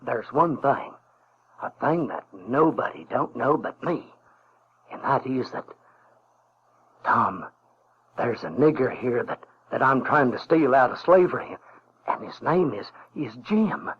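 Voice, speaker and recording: An actor playing a folksy, earnest Southern American runaway boy who tells his adventures in halting, colloquial speech. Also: medium pitch, expressive, slight background noise. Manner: halting, colloquial, repeats words. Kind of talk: first-person storytelling in radio drama. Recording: dull 1970s recording with music.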